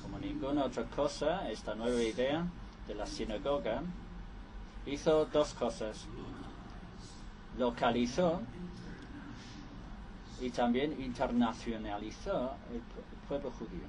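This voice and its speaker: A man voices a live interpretation of conference speeches, moving slowly and often breaking off to restart. His pitch sits at an ordinary middle. Clear background noise with traffic runs under the recording. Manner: halting, with frequent restarts.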